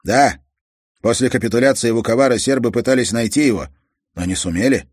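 A man's voice: medium pitch, a little fast, slightly rough, dry recording, studio quality, voice pushed up, lightly acted. A man voicing a weary immigrant father with a Croatian accent who speaks in broken, insistent sentences. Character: immigrant father, former engineer, blunt and weary.

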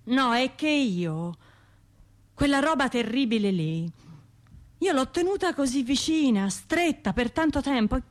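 Wry, wounded Tuscan woman. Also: high voice. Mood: troubled, confiding. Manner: colloquial, halting, wry, confiding. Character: wounded, wry woman bombing survivor, talking through her pain. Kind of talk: radio theatre monologue, conversational storytelling.

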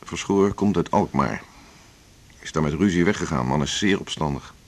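An actor playing a middle-aged senior doctor in a radio drama, a husky-voiced boss who talks in a brisk, familiar, patronising way. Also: male voice, very deep voice. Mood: businesslike. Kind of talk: naturalistic Dutch radio drama dialogue.

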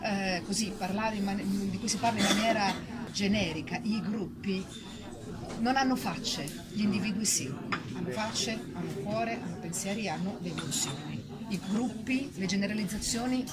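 A woman's voice: medium pitch, normal speed, over a noisy crowd. A woman in spontaneous reflective discussion, emphatic, repeating short phrases as she searches for words. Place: public festival discussion, noisy surroundings. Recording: very noisy live event recording.

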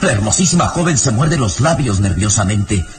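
A man's voice: fairly low pitch, expressive, moderate pace. A man narrating a radio drama in an ominous, theatrical style, with music behind.

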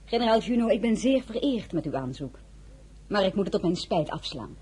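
A spirited young woman of a radio play, proud and headstrong. Her voice is husky and mellow, with very lively intonation and formal, expressive phrasing. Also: medium pitch, brisk. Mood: polite, firm. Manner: crisp formal refusals, indignant and expressive. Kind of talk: radio drama acting with first-person narration.

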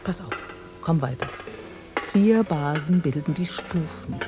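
A woman's voice: deep voice, expressive, measured pace, over music. An actress playing an intense, patronising woman in a German radio drama, explaining science in a coaxing, didactic way. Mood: coaxing, secretive.

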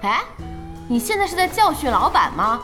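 An actress playing a young female team boss in an audio drama, curious, playful and easily flustered, with expressive hesitations. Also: high-pitched, moderate pace, faint music behind, full character voice. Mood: curious, hesitant. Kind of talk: Chinese audio drama acting.

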